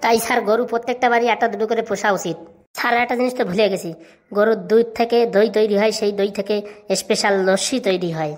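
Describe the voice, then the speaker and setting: A woman's voice: mid-range voice, steady tone, fast talker, close to an everyday voice. A woman voicing a cheeky, bossy, boastful village schoolboy in a comic cartoon, rattling on self-assuredly. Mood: eager reciting.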